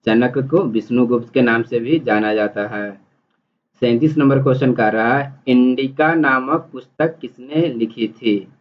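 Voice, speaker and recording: A man's voice: mid-range voice, a little slow. A man teaching exam prep, reading multiple-choice questions and explaining the answers in an instructional, repetitive way. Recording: dull, muffled lo-fi audio.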